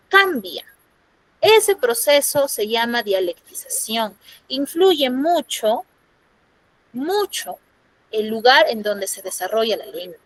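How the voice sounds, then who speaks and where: A woman's voice: on the high side, fairly bright tone, no echo, low-quality recording. A woman from Peru teaching an online class in Peruvian Spanish, explaining step by step and often checking in with "¿no?". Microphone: computer microphone on a video call.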